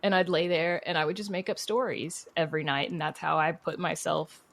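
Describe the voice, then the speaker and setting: Female podcast guest with a low-pitched voice; candid, chatty, conversational storyteller. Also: fairly even intonation, a little fast, vocal fry, fairly bright tone. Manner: candid, chatty, peppered with "you know" and "like". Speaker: podcast guest, horror writer.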